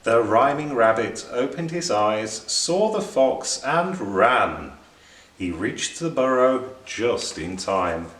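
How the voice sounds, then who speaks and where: A man reading a children's story aloud in an expressive, deliberate narrating style. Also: mid-range voice, slight background noise. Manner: deliberate, clearly phrased for children. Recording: roomy echo, distant microphone.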